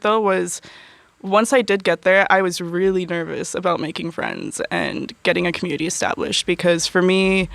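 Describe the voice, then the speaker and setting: Young woman with vocal fry. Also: lowish voice, dry recording. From United States. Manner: chatty, many fillers and laughs. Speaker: university student guest. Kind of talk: casual interview chat.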